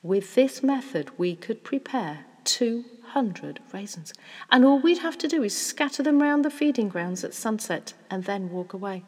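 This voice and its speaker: An excitable storybook father, played by a woman, affectionate and full of schemes. The delivery swoops widely and exclaims, with a husky edge, in a long reverberant space. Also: fairly high pitch, normal speed, voice pushed up. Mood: dreamy excitement. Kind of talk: children's storybook character acting.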